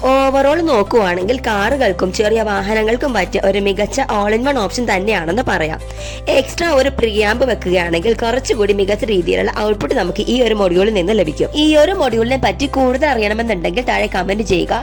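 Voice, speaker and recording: A woman narrates a product review in a scripted presenter style. Her voice sits at a middle pitch, with music playing softly behind her. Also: brisk.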